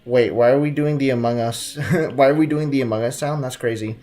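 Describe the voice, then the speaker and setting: A man's voice: medium pitch, steady tone, a little fast, warm tone. A young man live streaming a video game, chatting casually and playfully with viewers in loose slang. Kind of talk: casual chat while gaming. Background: game music.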